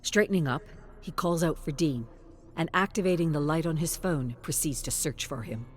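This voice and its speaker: A man reading scripted story narration in a measured, even storytelling delivery. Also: fairly high pitch, faint music behind.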